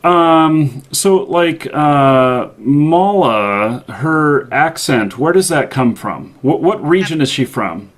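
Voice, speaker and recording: A man hosting a live interview show, asking questions hesitantly with many pauses and fillers. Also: a little high, lively intonation. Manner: hesitant, lots of ums and mm-hmms. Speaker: show host.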